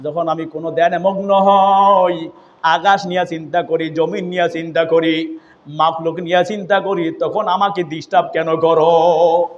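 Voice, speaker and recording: A man preaching as an Islamic storyteller, impassioned, with drawn-out calls and repeated phrases. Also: a little high, level tone. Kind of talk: storytelling sermon. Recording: dull, lo-fi audio.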